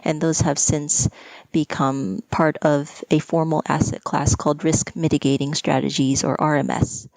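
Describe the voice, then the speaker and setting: A woman explains her pension fund's work in a measured, formal way as a podcast guest. Her mid voice stays flat and even, with a creaky, gravelly edge. The sound is dry. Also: sits low, dry recording, poor audio. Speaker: pension fund hedge strategies director, guest. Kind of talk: podcast interview.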